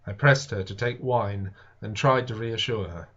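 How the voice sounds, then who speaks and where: A man's voice: lowish voice, measured pace, fairly bright tone. Dull lo-fi recording of a man narrating theatrically. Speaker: audiobook reader. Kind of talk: dramatic literary narration of a Victorian science fiction novel.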